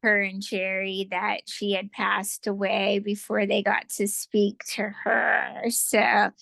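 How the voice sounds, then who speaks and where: A woman guest in a casual interview who shares reflective stories, often pausing with fillers. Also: medium pitch, monotone, gravelly, fairly bright tone, dry recording. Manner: reflective storyteller, lots of fillers.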